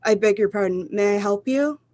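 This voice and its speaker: A woman's voice: medium pitch, a little fast, mellow, natural voice. A woman acting in a radio drama as a polite, unruffled telephone operator with formal, mechanical courtesy. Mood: politely detached.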